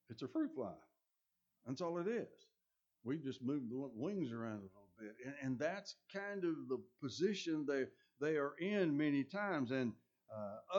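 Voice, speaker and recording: A man's voice: a little high, a little mellow. A man preaching a sermon with a scratchy voice, talking earnestly and often restarting his phrases. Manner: earnest, with many restarts and ums. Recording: dull lo-fi recording.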